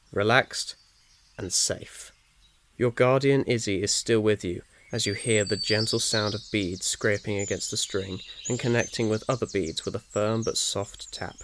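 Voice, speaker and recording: A man reading scripted second-person ASMR fiction as narrator in a soothing, measured manner. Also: fairly low pitch. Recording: faint noise, nature ambience behind. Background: birdsong, insects, nature ambience.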